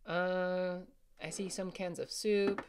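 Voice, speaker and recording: Big brother role, acted by a woman: confident joking boy, slightly low voice, family audio drama. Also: steady tone, slow pace, slight background noise. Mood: matter-of-fact. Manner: joking, teasing.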